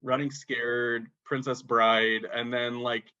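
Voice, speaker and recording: A man co-hosting a casual movie podcast, talking in an animated way and peppering his speech with "like". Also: medium pitch, takes it slowly, smooth, highs cut off. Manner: animated, filler-heavy talk with lots of "like". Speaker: podcast co-host.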